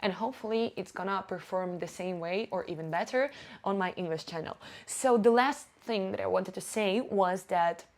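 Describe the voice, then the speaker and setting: A woman's voice: mid-range voice, normal speed. Young Ukrainian woman, Russian native speaker, casual vlogger; blunt, emphatic, the odd swear word, small grammar slips. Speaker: YouTuber and marketing agency owner. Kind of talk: casual talking-head vlog. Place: indoors, sitting before a camera.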